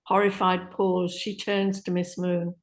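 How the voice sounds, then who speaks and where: A woman's voice: fairly low pitch, level tone, measured pace. A woman reading out stage directions as scripted narration for a play.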